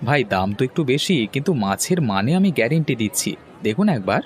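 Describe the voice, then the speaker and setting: A man's voice: mid-range voice, sing-song, fast, slight background noise, close to an everyday voice. A man playing a kind, earnest village fish seller in a cartoon, plain-spoken and easily discouraged. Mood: persuading. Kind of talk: cartoon voice acting.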